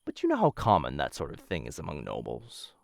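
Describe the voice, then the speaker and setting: Man acting a deep-voiced, flirtatious assassin, swooping intonation. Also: huge pitch swings, creaky, no room sound, clean studio sound, heavily acted. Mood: persuasive, playful.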